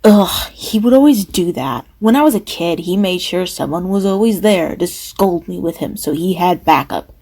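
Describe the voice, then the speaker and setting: A woman's voice: fairly low pitch, animated, normal speed, few pauses, mellow, slightly rough. Girl voicing a friendly young woman, apologetic, chatty.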